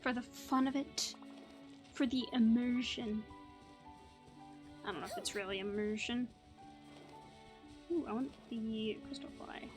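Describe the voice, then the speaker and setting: A woman's voice: a little high, a little slow, long pauses, faint music behind. A woman hosting a gaming video with casual, playful commentary, musing aloud to herself. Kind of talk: casual let's play commentary.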